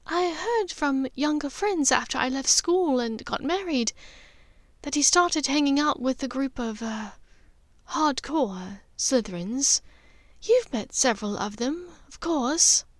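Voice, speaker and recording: A woman voicing an aristocratic mother in an audiobook, gentle and wistful, with a measured, hesitant, softly emotional delivery. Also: high voice, lively intonation, no echo, clean studio sound, pitched above the performer's own voice. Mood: wistful, fond. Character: aristocratic mother, gentle, wistful, confiding old family memories. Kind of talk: dramatized audiobook character dialogue.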